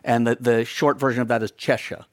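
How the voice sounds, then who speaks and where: A man's voice: mid-range voice, level tone, fairly quick, no room sound, clean studio sound. A middle-aged man from the eastern United States, a co-author talking in a live onstage conversation, chatty and given to restarts.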